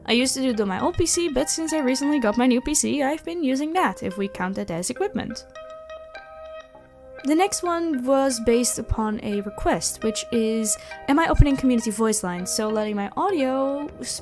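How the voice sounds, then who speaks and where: A woman with an enthusiastic, expressive way of talking, casually answering questions as the host of her own channel. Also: fairly high pitch, moderate pace. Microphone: close USB microphone. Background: background music.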